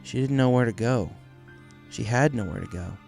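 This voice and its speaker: A man's voice: medium pitch, normal speed, gravelly, a little mellow. Man narrating a story over music. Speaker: fan fiction reader.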